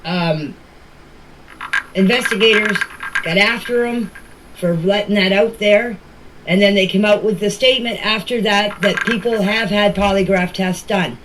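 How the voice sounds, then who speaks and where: A woman's voice: medium pitch, background noise. Middle-aged or older woman, plain-spoken. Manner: plain-spoken, emphatic, repeats herself. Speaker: family member giving her account. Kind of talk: informal personal account in voice messages. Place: voice clips recorded for a group chat.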